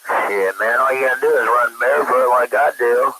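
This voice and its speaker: Man, creaky gravelly voice, medium pitch, joking coarse CB chatter, static behind him. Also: steady tone, brisk. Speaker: CB radio operator. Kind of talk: casual CB radio banter. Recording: static-laden CB radio transmission.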